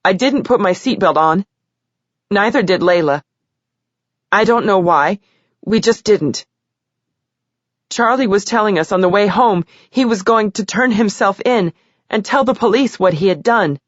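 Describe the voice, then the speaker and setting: A woman's voice: medium pitch, lively intonation, unhurried, pauses often, no echo, muffled recording. A woman voicing a teenage girl who gives a tearful statement in court, her voice shaking as she cries. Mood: shaky. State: crying.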